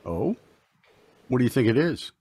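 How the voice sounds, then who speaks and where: An actor playing a kindly, serene doctor in an old-time radio drama, speaking in an earnest, formal, uplifting way. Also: male voice, medium pitch, very animated, normal speed, darkish tone, breathy edge, no echo. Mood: gentle, hopeful. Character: kindly, serene, mysterious male hospital doctor.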